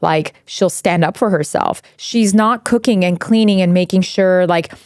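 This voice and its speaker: A blunt podcast co-host in her forties, a woman from Iran, with a lowish voice. She talks candidly and swears freely, often drifting into side stories. The sound is dry and close. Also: normal speed, darkish tone, no echo. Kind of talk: casual chat.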